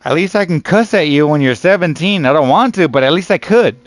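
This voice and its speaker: A man's voice: a little high, expressive, a little fast, soft background music. A man in his early thirties in casual, teasing chat with gaming friends, loose and slangy, calling people "dude" and "bro" and swearing now and then. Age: 30s. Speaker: gaming streamer.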